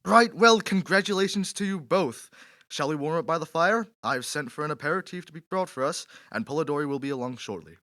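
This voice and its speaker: A man's voice: on the high side, lively intonation, a little fast, bright, forceful tone, dry recording. A man acting a pompous, temperamental aristocratic poet in a period radio drama, theatrical and condescending. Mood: grand, welcoming.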